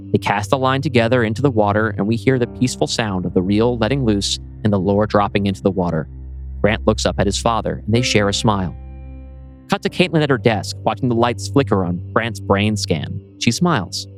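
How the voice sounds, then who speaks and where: A man narrating a screenplay dramatically as its writer, presenting stage directions and scene changes. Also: lowish voice. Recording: music playing behind voice.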